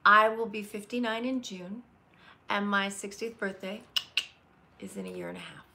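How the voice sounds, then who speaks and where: A woman's voice: mid-range voice, fairly even intonation, moderate pace, creaky, pushed and bright. A woman in her late fifties hosting a casual live chat from home on a webcam, greeting viewers by name and talking candidly. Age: 50s. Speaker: livestream host. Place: home room with leather chair by window. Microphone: webcam at desk.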